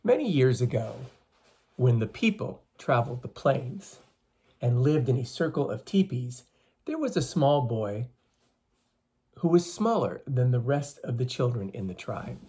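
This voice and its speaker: A reader with a slightly husky voice, reading a picture book aloud to young children and explaining things as they go. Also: mid-range voice, sing-song, a little slow, dark tone. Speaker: storybook reader for schoolchildren.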